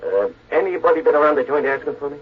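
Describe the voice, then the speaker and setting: A man's voice: on the high side, lively intonation, fast, husky. An American actor playing a jumpy, short-tempered man in slangy 1940s radio crime drama style. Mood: jumpy, anxious. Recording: noisy, dull 1940s radio recording.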